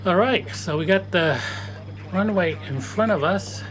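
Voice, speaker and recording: A man giving relaxed, casual commentary while flying a flight simulator at his home desk, often correcting himself mid-sentence. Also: high-pitched, measured pace, slight background noise, poor audio. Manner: chatty, self-correcting, relaxed.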